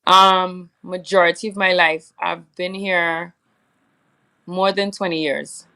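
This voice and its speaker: A woman's voice: lowish voice, level tone, takes it slowly, gravelly, bright, forceful tone, clear, resonant tone, low-quality recording. A young woman from Trinidad with a Trinidadian accent, chatting casually as an interview guest, rambling with lots of fillers. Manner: rambling, many fillers, laughs often. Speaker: soca singer, guest.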